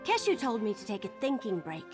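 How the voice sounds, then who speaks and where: A scatterbrained young adventurer woman talks in a high, swooping voice. She is breezy, bubbly and friendly, a fantasy game companion with music playing behind her. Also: wildly swooping pitch, fairly quick. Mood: cheerful, eager.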